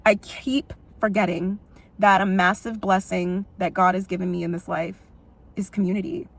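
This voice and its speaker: Expressive woman with a big voice. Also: mid-range voice, normal speed, gravelly. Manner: expressive and emotional, frequent "like" fillers and laughs. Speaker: vlogger and musical theatre performer. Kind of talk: personal vlog storytelling.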